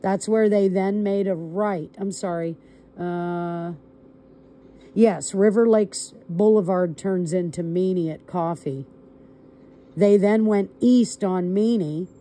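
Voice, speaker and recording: A woman caller on a recorded call, recounting events to law enforcement step by step in a deliberate, emphatic manner. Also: lowish voice, warm tone.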